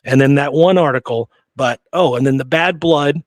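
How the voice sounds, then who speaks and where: A man's voice: mid-range voice, normal speed, no echo, studio quality. A man hosting a history show, telling stories conversationally from his research notes with casual, amused asides.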